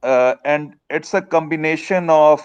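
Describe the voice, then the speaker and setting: A man taking part in a study group, explaining with hesitant pauses. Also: a little high, smooth. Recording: dull lo-fi audio.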